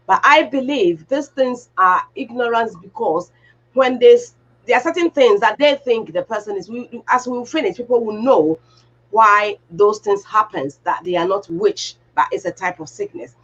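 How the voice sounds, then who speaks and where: A woman hosts a radio health talk and asks her guest plain, direct questions. Her voice is husky, and the audio sounds dull and muffled, like a lo-fi radio recording. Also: medium pitch, moderate pace, a bit bright. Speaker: radio show host.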